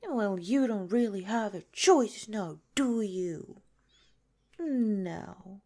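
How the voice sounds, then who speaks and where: A woman voicing a possessive yandere nurse girlfriend in an ASMR roleplay, sweetly menacing, coy and breathy, often slipping into a whisper. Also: medium pitch, animated, drawn-out words, warm tone, full character voice. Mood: mocking, possessive. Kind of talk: ASMR roleplay, soft intimate drawn-out delivery.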